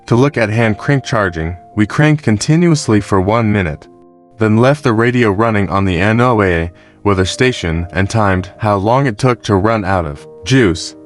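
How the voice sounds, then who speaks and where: Male narrator, slightly deep voice, scripted review read, measured, explanatory, background music. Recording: music behind the voice.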